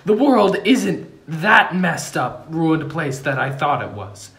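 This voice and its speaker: A melodramatic, earnest king as an amateur reader acts him out, proclaiming to his people in a comedy table read. The pitch swings widely and theatrically, and the room adds noticeable echo. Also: sing-song, slow, creaky, fairly bright tone, exaggerated voice. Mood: grand, proclaiming. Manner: theatrical, swooping declamation broken by amusement. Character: melodramatic young king turned cheerful, earnest ruler. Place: room at home around a wooden table.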